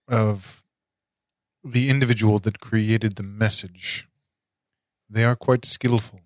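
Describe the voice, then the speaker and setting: Man playing a deliberate, unflappable intelligence officer. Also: lowish voice, dry recording. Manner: halting, measured, formal. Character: calm, deliberate male military intelligence officer, quietly insistent.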